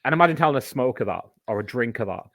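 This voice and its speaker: A man's voice: medium pitch, lively intonation, rapid-fire, a bit bright, no room sound, studio quality. A man, a podcast guest, explaining a topic in casual chat, talking at length and giving examples.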